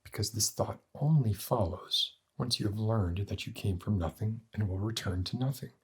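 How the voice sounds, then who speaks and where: A man playing a morbid, cynical doctor in an ASMR roleplay, lecturing in a pedantic, deliberate and bleak way with a husky voice. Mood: dry, cynical. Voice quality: husky, breathy, low. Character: morbid, cynical male doctor treating depression with despair.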